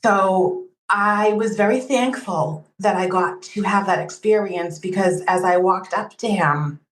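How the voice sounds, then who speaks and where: A woman's voice: mid-range voice, a little slow, reverberant, low-quality recording. A woman guest on a podcast, casually sharing her own experiences as a fan. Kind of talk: casual personal storytelling.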